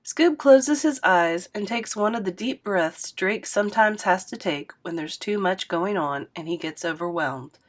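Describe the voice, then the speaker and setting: A woman reads a children's novel aloud in a steady, expressive storyteller's voice. Her pitch sits right in the middle for a woman, and she narrates evenly, line by line. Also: unhurried, few pauses. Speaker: read-aloud host.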